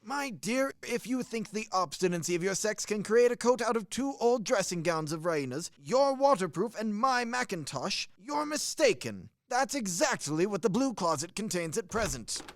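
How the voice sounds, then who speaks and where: A man's voice: high voice, big pitch swings, normal speed, few pauses. A man acting a blustering, genial old army major in a radio play, with a hearty, theatrical manner. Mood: grumbling, stubborn.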